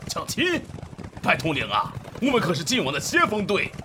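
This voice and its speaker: Proud, reluctant male soldier, high and hugely animated, costume radio play. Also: normal speed, noisy background. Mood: indignant, reluctant. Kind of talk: Chinese costume audio drama acting.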